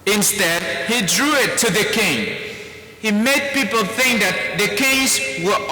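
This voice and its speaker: Vietnamese-accented priest in a reverberant church. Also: male voice, high voice, lively intonation, bright, forceful tone. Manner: slow, deliberate, spells out words. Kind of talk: homily. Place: large church. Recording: echoey church hall.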